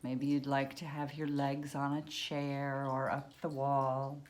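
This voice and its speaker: Very slow, low-voiced woman guiding meditation. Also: level tone, a little mellow. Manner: unhurried, spoken in short phrases with long pauses. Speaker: guided meditation teacher. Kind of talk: guided yoga nidra meditation, soothing instruction.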